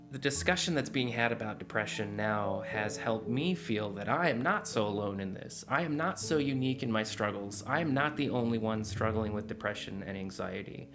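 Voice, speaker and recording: A man in his forties talking earnestly in a casual confessional vlog, rambling with fillers and restarts, recorded on a desk mic in a small dim room at home. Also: mid-range voice. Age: 40s. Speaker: vlogger. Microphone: desk mic in front, close camera framing.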